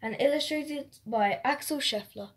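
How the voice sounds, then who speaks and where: A woman storyteller narrating a rhyming children's story aloud in an expressive read-aloud style. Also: high-pitched, a little slow.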